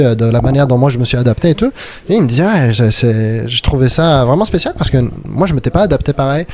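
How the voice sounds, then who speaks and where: A man's voice: medium pitch, mellow. A young man hosting a podcast, talking in a thoughtful, casual way and often restarting his sentences. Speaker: podcast host. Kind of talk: casual chat.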